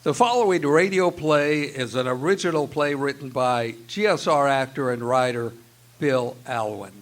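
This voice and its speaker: A man's voice: mid-range voice, moderate pace, a little hiss or hum. A man working as an announcer, introducing a radio play in a scripted delivery.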